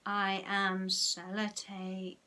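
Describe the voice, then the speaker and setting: A woman voicing an entranced child, speaking in a slow, obedient monotone in a children's story. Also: medium pitch. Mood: blank, entranced. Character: hypnotised child droning obediently in a trance.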